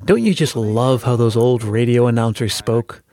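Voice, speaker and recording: A man's voice: medium pitch, normal speed, a little mellow. American man hosting a scripted podcast. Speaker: podcast host. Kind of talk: scripted narration. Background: faint music.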